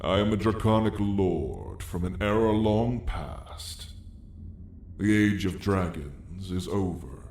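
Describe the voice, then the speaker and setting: A man's voice: sits low, expressive, takes it slowly, a little mellow, full character voice. A man playing an ancient, weary dragon lord with dry menace, telling his tale in a grand, wry, old-fashioned way for an ASMR roleplay. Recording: roomy echo, faint background noise.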